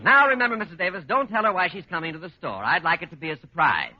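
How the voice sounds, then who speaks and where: An actor playing a hearty, effusive department store boss in 1940s radio comedy. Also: male voice, high voice, expressive, fast, heavy background noise. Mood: jovial, brisk. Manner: effusive, salesmanlike. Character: hearty, eager male department store boss, smooth promoter. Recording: dull vintage radio recording.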